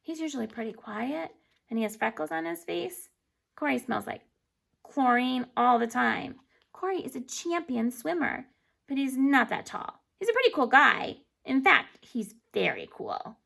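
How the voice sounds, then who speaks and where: A woman reading a children's chapter book aloud in an animated, emphatic way, stumbling over words now and then. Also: high-pitched, measured pace, vocal fry. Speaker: storybook reader.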